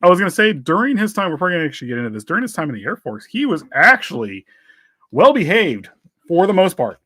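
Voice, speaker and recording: Male American podcast co-host, playful and informal, lively intonation, dry studio-like sound. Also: sits high, quick pace, no room sound. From United States. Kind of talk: casual true-crime podcast chat.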